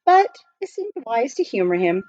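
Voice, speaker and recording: A woman reading a children's novel aloud as an expressive storyteller. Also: on the high side, normal speed, dull audio, slight background noise. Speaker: storybook reader.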